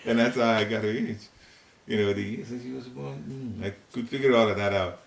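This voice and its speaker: A man's voice: medium pitch, steady tone, a little fast, creaky. An older man from Ghana with a Ghanaian accent, leading a devotional class in a home and talking in a chatty, rambling way with chuckling asides. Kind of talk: informal spiritual teaching talk. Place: living room in a private home. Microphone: seated, room recorder at a distance. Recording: roomy home recording, faint hiss.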